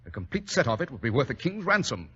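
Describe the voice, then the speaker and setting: A cool, commanding British gentleman detective. The voice is sharp and a little rough, with dry teasing and brisk assurance. It sounds like a muffled old radio broadcast. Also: mid-range voice, very animated, heavily acted. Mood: brisk, instructing. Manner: crisp, assured, dryly teasing. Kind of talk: 1940s radio drama acting. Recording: muffled vintage radio recording.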